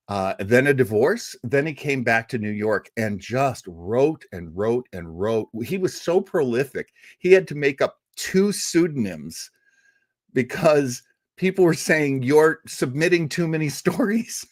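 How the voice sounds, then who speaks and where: Man, casual host, enthusiastic storyteller, lively up-and-down pitch, dry close sound. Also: no echo. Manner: chatty and enthusiastic, many fillers and asides. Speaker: host introducing a radio drama. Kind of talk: casual spoken introduction.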